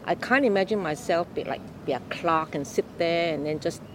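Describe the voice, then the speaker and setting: An older woman from Malaysia telling her life story in an unhurried, explaining way during an interview. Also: lowish voice, noisy background. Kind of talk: oral history interview.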